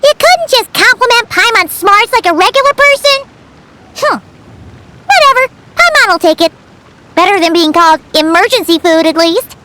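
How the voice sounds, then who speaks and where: A woman voicing a small, childlike, cheeky fairy companion in a video game, squeaky and exclamatory. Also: expressive, normal speed, sharp tone, slight background noise, exaggerated voice. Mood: miffed. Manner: exclamatory, refers to self in third person. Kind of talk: video game character acting.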